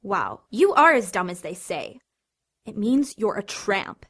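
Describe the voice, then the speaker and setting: An actress playing a dramatic, sarcastic teenage big sister in an audio drama. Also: female voice, medium pitch, sing-song, a little slow, vocal fry, slightly rough, full character voice. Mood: bitter. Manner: sarcastic, theatrical.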